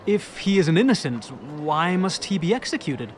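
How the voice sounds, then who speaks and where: An actor in an audio drama playing a curious, outspoken, privileged young gentleman. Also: sits high, very animated, normal speed, slight background noise. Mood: earnest.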